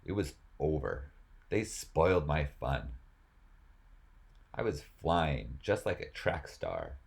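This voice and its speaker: A man's voice: rumbling low, very animated, slow, creaky. A man reading rap lyrics aloud as spoken word, as host of the reading.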